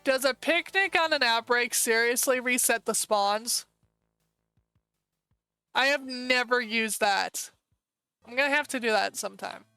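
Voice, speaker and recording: A woman with an American accent, casually chatting through a live stream and thinking aloud with quick asides. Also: on the high side, pushed and bright, smooth, no echo, faint music behind. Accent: American English.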